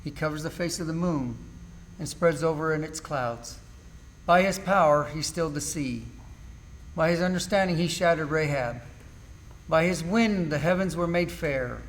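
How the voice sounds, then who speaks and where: A man's voice: fairly high pitch, moderate pace, lightly acted. An amateur actor, a man, playing a suffering, bitter but steadfast man in a solemn, declamatory Bible drama reading. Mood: awed. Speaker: church class amateur actor.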